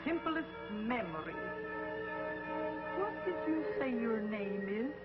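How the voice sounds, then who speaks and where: An actress playing a power-hungry alien ruler who gives commands and gloats, in 1950s TV sci-fi style, on a dull old soundtrack with loud music. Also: female voice, a little high, sing-song, slow pace. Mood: commanding.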